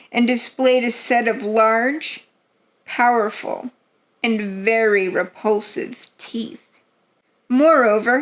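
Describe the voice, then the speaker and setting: Very slow, high-voiced woman narrator. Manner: deliberate, theatrical storytelling. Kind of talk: atmospheric dramatic narration of gothic horror.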